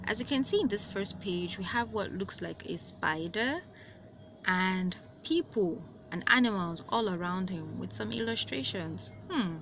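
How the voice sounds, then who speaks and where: A woman reading a story aloud as host, with animated delivery and dramatic, drawn-out emphasis on words. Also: medium pitch, gravelly, noisy background. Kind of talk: expressive read-aloud storytelling.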